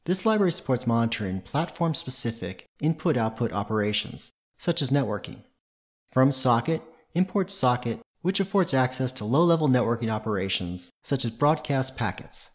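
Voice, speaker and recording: A man's voice: medium pitch, sing-song, normal speed. A man presenting a tutorial, reading scripted technical narration in a methodical, matter-of-fact way.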